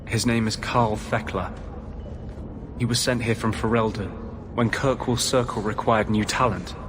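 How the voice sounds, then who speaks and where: An actor voicing an earnest, defiant mage healer with a measured, intense delivery in a dramatic video game. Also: male voice, lowish voice, steady tone, noisy background, natural voice. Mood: earnest, determined. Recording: music behind the voice.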